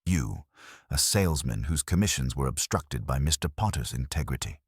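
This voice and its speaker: A man's voice: a bass voice, lively intonation, moderate pace, husky, no echo, studio quality, exaggerated voice. A man voicing a stern, imperious detective genius in an audiobook, with a pompous, formal and accusatory way of speaking. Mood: accusing, commanding.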